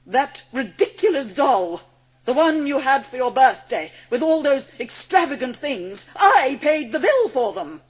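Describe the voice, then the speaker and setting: A woman playing a cruel, cold-hearted schoolmistress in an audiobook drama, imperious and scornful, with drawn-out emphasis. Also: high voice, sing-song, husky, full character voice.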